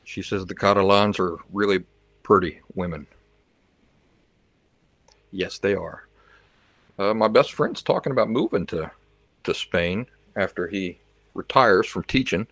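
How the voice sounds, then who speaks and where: A man's voice: low-pitched, unhurried, pauses often, fairly bright tone, dry recording. A man hosting a livestream, chatting casually with viewers and reacting to their comments, sometimes switching into learner's Spanish. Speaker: livestream host.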